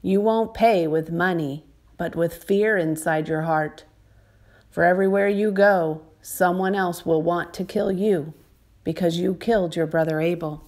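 A woman voicing God in a storybook as a stern, angry father, speaking deliberately. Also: lowish voice, a little mellow, natural voice.

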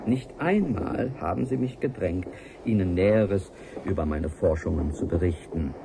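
Lonely earnest scientist, lowish voice, formal and measured, radio play, noticeable noise on the tape. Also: male voice. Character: earnest, lonely male scientist confiding by audio letter. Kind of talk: 1980s German radio play monologue.

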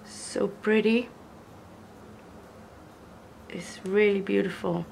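Young woman, slow and hoarse, gravelly, gushing over gifts; camera mic at a table, faint room noise. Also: medium pitch, steady tone, darkish tone. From Netherlands. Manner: gushing, slow, admiring, repeats praise. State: hoarse from illness. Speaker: crafter showing gifts. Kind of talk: casual unboxing vlog. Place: indoors at a wooden table.

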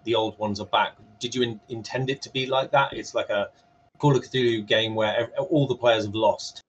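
A man's voice: mid-range voice, fairly even intonation, moderate pace, a little hiss or hum. A man moderating a panel conversation over a video call, asking questions in a hesitant, rambling way. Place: home room on a video call. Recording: dull compressed video-call audio.

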